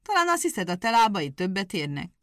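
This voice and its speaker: A woman voicing a small, crooked-legged male hedgehog, sly and quick to take offense, with a folksy, stubborn way of talking. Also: fairly low pitch, lively intonation, no echo, studio quality.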